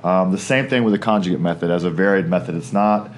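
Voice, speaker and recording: A man's voice: deep voice, quick pace. A man hosts a casual fitness podcast and keeps the talk upbeat and friendly. A faint hiss of noise sits under his voice. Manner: upbeat, friendly, laughs easily. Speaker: podcast host.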